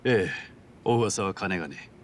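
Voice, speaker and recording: A composed, calculating yakuza underboss in a game drama. His lowish voice is courteous and business-like, over a faint low rumble. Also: lively intonation. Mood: composed, deferential. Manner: formal, courteous, measured business-like speech. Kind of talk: dramatic video game voice acting.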